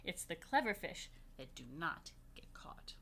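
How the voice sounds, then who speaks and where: A woman reading the part of a clever, thoughtful fisherman father in a children's storybook, in a measured, teacherly way. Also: on the low side, very animated, vocal fry, noisy background, full character voice. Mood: wise, instructive.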